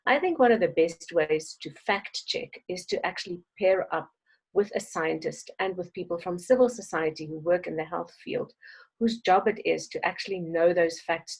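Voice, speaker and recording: Measured South African woman, low voice. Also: a little mellow, breathy edge. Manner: measured, thoughtful, with frequent ums. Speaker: webinar speaker. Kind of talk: presentation on a video call.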